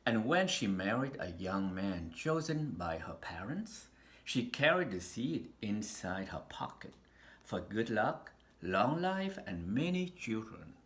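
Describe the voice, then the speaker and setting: A man reads a picture book aloud in a roomy, echoing space with faint noise behind him. His delivery is very slow and deliberate, with dramatic pauses and lively rises and falls that carry the storytelling. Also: on the low side. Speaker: storybook reader. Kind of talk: read-aloud children's picture book narration.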